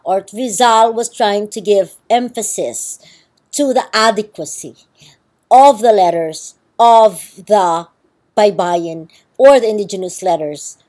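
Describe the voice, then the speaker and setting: A woman's voice: medium pitch, darkish tone. A woman with a Filipino accent giving a university lecture, explaining with frequent ums and checking okays. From Philippines.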